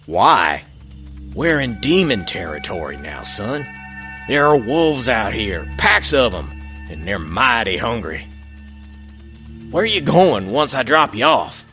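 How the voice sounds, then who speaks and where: Bright, animated frontier coachman. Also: medium pitch, takes it slowly, soft background music, exaggerated voice. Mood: tense foreboding. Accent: rural Western American. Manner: folksy, agitated, foreboding. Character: superstitious, anxious frontier carriage driver, older man. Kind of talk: audio drama acting.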